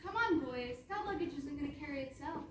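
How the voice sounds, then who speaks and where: A woman plays a perky young princess on stage, high-voiced and sure of her own importance. She is sweet and bossy at once. The sound is echoey and noisy, from a distant mic. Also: expressive, brisk, a bit bright. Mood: bossy, teasing. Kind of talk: comic stage acting. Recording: echoey hall, distant mic, clear noise.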